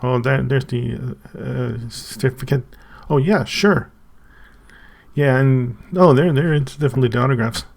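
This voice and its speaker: Man, Swedish native speaker, very gravelly voice, halting, casual unboxing talk. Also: medium pitch, a little mellow. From Sweden. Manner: halting, thinking aloud with many restarts. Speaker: channel host.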